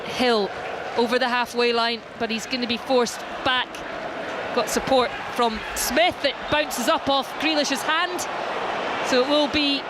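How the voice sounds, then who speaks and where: High-voiced man calling football live. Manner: fast, rising calls over the action. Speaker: play-by-play commentator. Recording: loud stadium crowd and noise behind.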